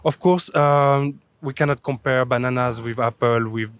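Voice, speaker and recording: A man from France with a French accent, answering casually as an interviewed traveler, pausing between phrases. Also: medium pitch, steady tone, clean tone, dry recording.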